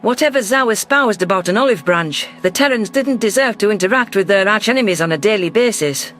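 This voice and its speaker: A man reading scripted first-person science fiction narration in a measured, literary delivery. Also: high-pitched, expressive, fairly bright tone, soft background music. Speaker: audiobook narrator.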